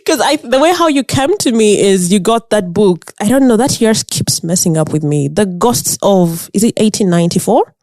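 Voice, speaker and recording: A woman from Uganda hosting a podcast, telling a story to a friend in casual chat, animated and laughing often. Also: mid-range voice, normal speed, few pauses, dry recording, studio quality. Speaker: podcast host.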